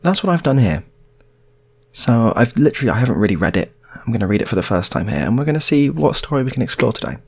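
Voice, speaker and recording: A man's voice: mid-range voice, sing-song, quick pace, vocal fry, darkish tone, breathy edge. A man telling an improvised story in a casual, rambling way, often repeating his phrases. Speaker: storyteller, channel host.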